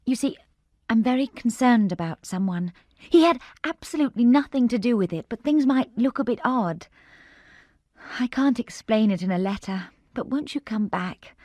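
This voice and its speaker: Soft, high-voiced anxious young Englishwoman. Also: expressive, normal speed, no echo. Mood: anxious, pleading. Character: earnest, anxious, persuasive young English woman. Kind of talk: radio drama, reading a letter in character.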